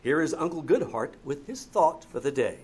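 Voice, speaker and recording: A man acting an earnest 1950s radio announcer and pitchman, delivering scripted copy. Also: sing-song, normal speed, slightly rough. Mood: presentational.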